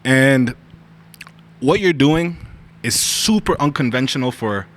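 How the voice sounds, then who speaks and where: A man's voice: medium pitch, expressive, slow, a bit bright. A man hosting a podcast, talking in a laid-back way full of "you know" and "like" as he asks his guest questions. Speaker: podcast host. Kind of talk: casual podcast interview.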